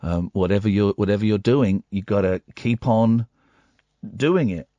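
A man's voice: on the low side, normal speed, warm tone, no room sound, lo-fi sound. A man in his forties hosting a late-night radio phone-in, playful, self-deprecating and rambling. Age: 40s. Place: radio studio. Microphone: studio microphone.

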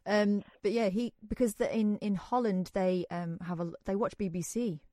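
A woman from England presenting on radio, chatting casually with animated, self-deprecating storytelling. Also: mid-range voice, moderate pace, dry recording, clean studio sound.

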